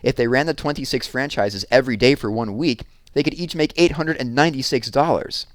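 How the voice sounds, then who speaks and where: A man's voice: medium pitch, fairly quick, dry recording. A man reading a children's book aloud as a storyteller.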